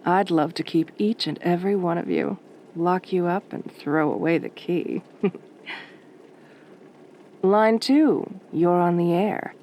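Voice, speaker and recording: A woman playing a sultry, teasing late-night radio DJ in a soft-spoken roleplay, with a velvety voice and a flirty, wry drawl. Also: fairly low pitch, slow, pauses often, slight background noise. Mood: amused, flirty.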